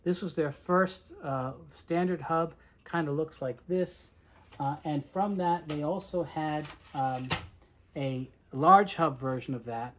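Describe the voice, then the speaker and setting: A collector talks through a collection in an informal show-and-tell style. The voice sits at a medium pitch. The delivery is conversational and explanatory, moving from one item to the next. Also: slow, slight background noise. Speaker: collector presenting a tape reel collection.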